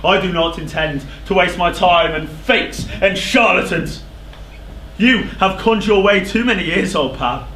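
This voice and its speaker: A man's voice: high-pitched, a little slow, bright, forceful tone, exaggerated voice. An actor playing a proud, hot-tempered king in a stage verse drama, declamatory and scornful. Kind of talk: theatrical verse stage acting. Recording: echoey theatre stage recording, faint noise.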